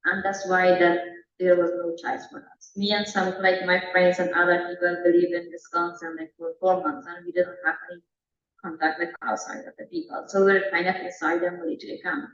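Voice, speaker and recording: A young woman from Afghanistan, a college student, speaks in a halting way full of fillers. She sits off mic in an echoey room. The sound is dull and a little muffled. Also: lowish voice, level tone, a bit bright, distant mic. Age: young adult. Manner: hesitant, frequent fillers. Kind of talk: personal testimony.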